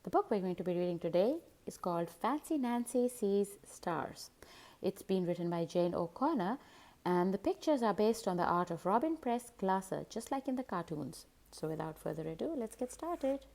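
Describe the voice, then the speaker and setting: A woman narrating a children's picture book as host, in an expressive, deliberate storytelling manner. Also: medium pitch. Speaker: host and read-aloud narrator.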